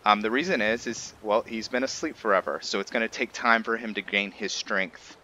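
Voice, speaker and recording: A man's voice: on the low side, brisk, sharp tone, slight background noise. A man hosting a let's play with casual gameplay commentary, hesitant and often correcting himself as he explains.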